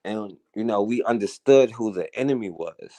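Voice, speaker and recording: A man's voice: on the low side, normal speed, mellow, no echo. A man delivers an earnest, conversational radio monologue. He checks in with his listeners through "you know" and "right?". The sound is dry and close. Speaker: talk radio host. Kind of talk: casual monologue commentary.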